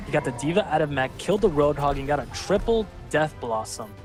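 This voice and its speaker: A man casting a live online match, calling the action and filling pauses with rambling, self-deprecating asides. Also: on the high side, expressive, over music. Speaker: esports caster. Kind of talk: live game commentary.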